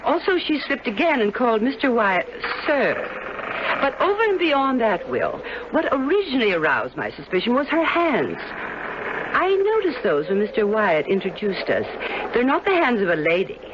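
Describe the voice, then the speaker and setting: A woman's voice: on the high side, wildly swooping pitch, normal speed. An actress playing a prying, genteel married woman in a radio drama, gushing, polite and sweetly condescending. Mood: confiding. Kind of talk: 1970s radio drama acting. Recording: dull, hissy old radio drama recording.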